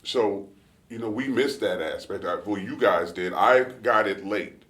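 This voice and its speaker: A middle-aged man talking casually with his son in an interview, relaxed and often searching for words. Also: fairly low pitch, a little slow, far from the mic. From United States. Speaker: interviewee, father. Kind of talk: casual family conversation. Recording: roomy, off-mic.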